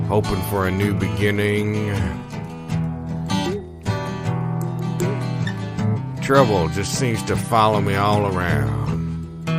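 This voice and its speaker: A man playing a weary, down-and-out western drifter, telling his tale in broken phrases like a spoken ballad. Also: sits low. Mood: brooding. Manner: drawn-out, broken phrases with long pauses. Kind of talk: slow spoken-word ballad over guitar. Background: loud plucked guitar.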